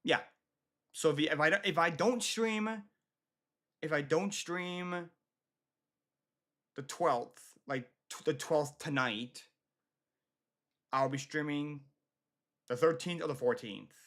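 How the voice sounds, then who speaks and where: An American man from Michigan chatting casually to his livestream viewers, halting and often repeating himself. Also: fairly high pitch, a bit bright. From Michigan, United States. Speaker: livestream host.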